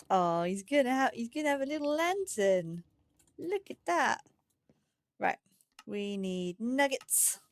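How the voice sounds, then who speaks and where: Female let's play host: unhurried delivery, medium pitch, casual thinking-aloud commentary with playful turns of phrase. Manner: chatty thinking aloud, playful cutesy wording.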